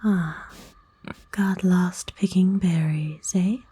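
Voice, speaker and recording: A woman voicing a kind, nurturing forest witch in a soothing, folksy, unhurried breathy whisper for an ASMR roleplay. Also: fairly low pitch, fairly even intonation, mellow, slight background noise. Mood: curious, concerned. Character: kind, nurturing forest witch, playful and gently old-fashioned. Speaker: ASMR voice actor.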